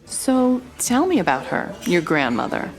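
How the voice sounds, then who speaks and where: Wry, low-pitched investigative reporter. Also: female voice, very animated, measured pace, low-quality recording. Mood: calm, questioning. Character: confident, sly female investigative reporter narrating her case. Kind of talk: noir-style scripted audio drama narration and dialogue.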